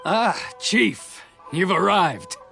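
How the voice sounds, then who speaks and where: Shifty, self-important bureaucrat, very gravelly and animated, for a game villain. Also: male voice, sits high, extremely slow, exaggerated voice. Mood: obsequious. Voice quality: gravelly, creaky. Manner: haughty, stammers when cornered. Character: pompous corrupt male official, shifty and blustering. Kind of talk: video game character acting. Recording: game music behind voice.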